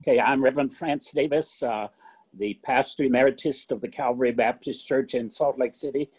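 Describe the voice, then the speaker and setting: A man who is a retired pastor, introducing himself at a meeting in a measured way with pauses. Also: medium pitch, fairly even intonation, darkish tone, breathy edge. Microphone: video call.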